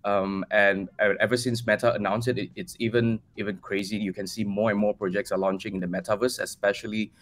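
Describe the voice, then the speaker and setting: Lower-voiced Malaysian man, casual guest. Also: flat delivery, a little fast, hardly any pauses, a bit bright. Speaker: guest, crypto project team member. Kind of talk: casual interview answers. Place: bright room at home, video call.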